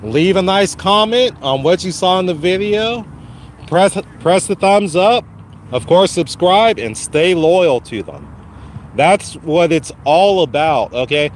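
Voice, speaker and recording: A man hosting his first live stream from a phone while walking a dog outside, his voice slightly raspy from a cold, casually encouraging viewers. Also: high-pitched, lively intonation, takes it slowly, a little hiss or hum. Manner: encouraging, repetitive, addresses viewers by name. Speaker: channel host. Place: outdoors on a lawn walking a dog. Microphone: phone held while walking.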